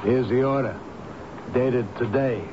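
An actor playing a brusque, businesslike electrician who talks in a curt, slangy way in a radio drama. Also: male voice, mid-range voice, fairly even intonation, measured pace, close to an everyday voice. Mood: impatient. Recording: very noisy, muffled old radio broadcast.

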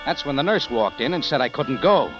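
An actor playing a tough, wry American adventurer with slangy, hard-boiled delivery in a 1950s radio drama. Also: male voice, mid-range voice, big pitch swings, fairly quick, music in the background, natural voice. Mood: wry narration. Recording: dull old radio recording.

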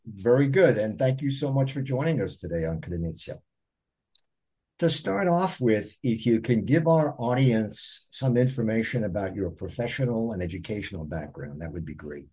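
A man hosting a podcast, with a slightly husky voice that rises and falls a lot. He reads formal, scripted introductions and questions, with a faint clicking behind him. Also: fairly low pitch, lively intonation, normal speed, darkish tone. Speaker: podcast host. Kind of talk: podcast interview.

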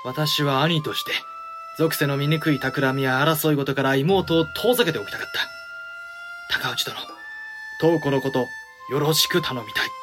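Samurai clan head, male actor, period audio drama: stately, frank, formal archaic diction, fairly high male pitch over music. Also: measured pace, close to an everyday voice. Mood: sincere. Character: dignified samurai clan head, frank protective elder brother.

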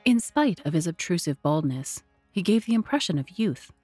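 A woman narrating a literary tale as a storyteller, measured, deliberate and expressive. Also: fairly low pitch, husky, no room sound, soft background music. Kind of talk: dramatic scripted literary narration.

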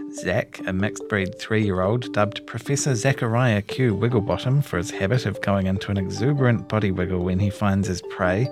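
A man with a New Zealand accent reads a scripted long-form news story in a measured, articulate storytelling delivery. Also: on the low side. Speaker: reporter reading his own long-form article. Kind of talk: scripted narration of a feature story.

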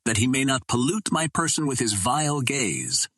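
A man's voice: mid-range voice, animated, normal speed, a bit bright, dry recording, clean studio sound, voice pushed up. A man voicing a huge, raging alien warrior king who shrieks and roars commands in an audiobook adventure. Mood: furious, commanding.

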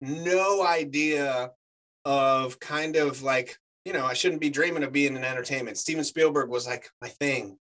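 A man's voice: mid-range voice, measured pace. A man in his forties whose first language is Spanish, chatting casually as an actor guest and telling stories with lots of fillers. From United States. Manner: animated storyteller, many fillers. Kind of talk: casual chat.

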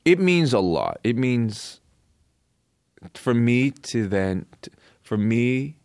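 A man with a Canadian accent telling a personal story casually as an interview guest, in short halting phrases. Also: mid-range voice, very animated, darkish tone, no room sound, clean studio sound. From born in Korea, raised in Canada.

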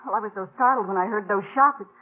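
A woman's voice: mid-range voice, quick pace, close to an everyday voice. An actress playing a defiant but frightened young mother held captive in a 1950s radio western, dramatic and indignant. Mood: defiant, anxious. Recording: tinny, muffled 1950s radio recording.

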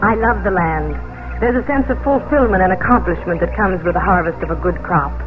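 An actress playing a young, proud but kindly colonial farm mistress, proper, refined and earnest, in a 1950s radio drama. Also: female voice, lowish voice, brisk, narrow, tinny audio, close to an everyday voice. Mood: warm, earnest. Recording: band-limited old radio broadcast with music.

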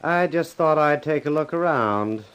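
A man's voice: on the high side, animated, a little slow, a bit bright, clear, resonant tone. An actor playing an officious, prim company man who speaks formally and insinuatingly in a 1950s radio drama. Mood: snide, insinuating. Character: officious, prim, snide male company rival.

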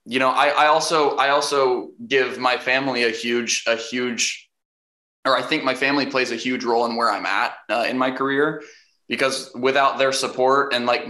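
Young man with a deep, bright voice. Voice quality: fairly deep voice. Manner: hesitant, many restarts and fillers, laughs easily. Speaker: actor being interviewed. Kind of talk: casual interview answers. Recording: roomy echo.

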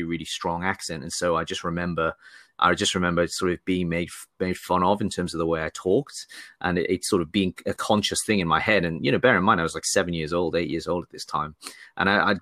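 Deep-voiced man, eclectic faint northern accent. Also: fairly bright tone, no room sound, clean studio sound. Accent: mixed, faint Geordie. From northeast England. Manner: rambling, many restarts and fillers. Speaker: guest. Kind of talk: casual interview talk.

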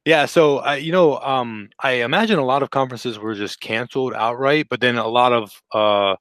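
A man's voice: medium pitch, moderate pace, a bit bright, no room sound, studio quality. A man hosting a remote podcast interview, talking casually with many fillers and an upbeat manner. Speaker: podcast host. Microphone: remote call microphone.

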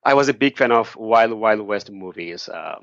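A man's voice: on the low side, expressive, normal speed, gravelly, fairly bright tone, dry recording. A middle-aged man from Bangladesh, telling his story earnestly as an interview guest. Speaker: guest, nonprofit founder. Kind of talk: interview answers. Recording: dry, slightly muffled lo-fi sound.

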